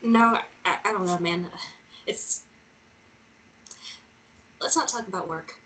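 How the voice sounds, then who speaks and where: A woman's voice: fairly low pitch, takes it slowly, a bit bright, poor audio. A woman acting a driven, frustrated young journalist in a screenplay table read, with an exasperated, intense delivery. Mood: guarded.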